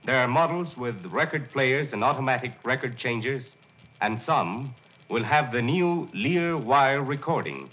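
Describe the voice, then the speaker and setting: A man announcing in a polished, salesmanlike 1940s radio advertising style. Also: medium pitch. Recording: muffled 1940s radio broadcast.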